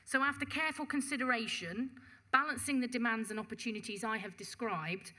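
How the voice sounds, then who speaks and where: Woman, commissioner type, bright clear tone, formal measured budget speech to a council panel. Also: a little high. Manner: measured, reads out figures, occasional restarts. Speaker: police and crime commissioner presenting a budget. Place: council meeting chamber.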